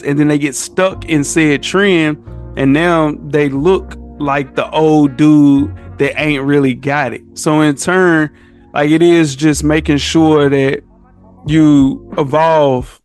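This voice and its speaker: A man in his thirties with a Southern US accent, chatting casually and telling stories in an emphatic, slangy way. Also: on the high side. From southern United States. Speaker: podcast guest. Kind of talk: casual chat.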